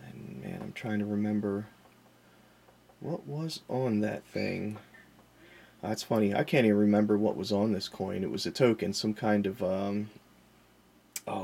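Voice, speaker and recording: Low, gravelly man rambling casually. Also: a little mellow. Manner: folksy, self-deprecating, wandering off on tangents. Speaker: vlogger and online reseller of vintage collectibles. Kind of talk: casual, rambling unscripted vlog talk.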